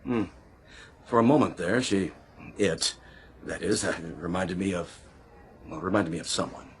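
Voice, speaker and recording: An actor playing a fussy, pompous department store floor manager in a radio drama, with an officious, clipped manner. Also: male voice, deep voice, moderate pace, close to an everyday voice. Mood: puzzled.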